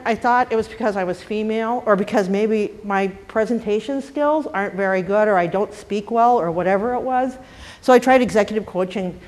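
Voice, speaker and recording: An older woman with a trace of a Boston accent talks candidly on a panel about her working life. Her pitch sits in a middling range, and her manner is wry, blunt and self-deprecating. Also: darkish tone. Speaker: panel speaker. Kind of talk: candid personal talk.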